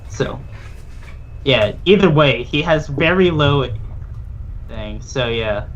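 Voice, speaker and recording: A man's voice: mid-range voice, lively intonation, takes it slowly, fairly bright tone. A man acting as game master, talking casually through a tabletop roleplaying game on a muffled mic. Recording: muffled mic with noise and clicks.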